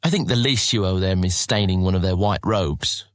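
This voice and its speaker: A man's voice: sits low, normal speed, a bit bright, no room sound, clean studio sound. A man playing a mischievous young street rat in a fantasy audio drama, teasing and persuading as he talks. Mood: needling, coaxing.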